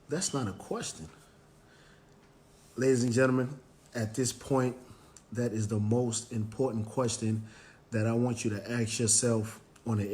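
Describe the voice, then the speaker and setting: A man hosting a motivational Facebook Live show, hoarse and raspy from cheering, greeting viewers by name, with plenty of "um" and "you know". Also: on the low side, darkish tone. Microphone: live stream, phone or webcam.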